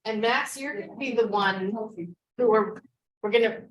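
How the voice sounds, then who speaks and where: A woman's voice: fairly high pitch, normal speed, lo-fi sound, slight background noise. A woman board member talking casually in a meeting, with informal interjections. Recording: echoey, distant microphone.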